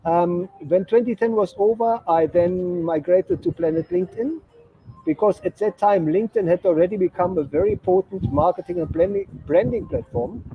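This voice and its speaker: A man's voice: high-pitched, moderate pace, breathy edge, no room sound. A man from Germany with a German accent, a guest explaining his work in a conversational interview and often checking in with "right?". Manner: explanatory, frequent "right?" tags. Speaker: guest, branding consultant. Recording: dull lo-fi sound with faint noise.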